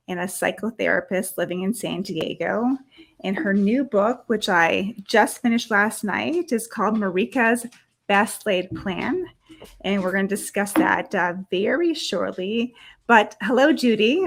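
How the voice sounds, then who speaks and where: A woman's voice: mid-range voice, gravelly. Woman hosting a video interview. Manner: friendly, short encouraging back-channels. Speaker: publisher and interviewer.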